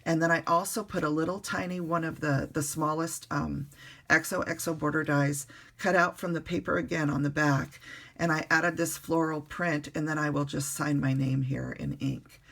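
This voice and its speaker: A woman recovering from the flu, her voice hoarse, chatting casually through a card-making tutorial at a desk in her bedroom with lots of ums and uhs. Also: low-pitched, steady tone, moderate pace, a bit bright. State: hoarse, getting over the flu.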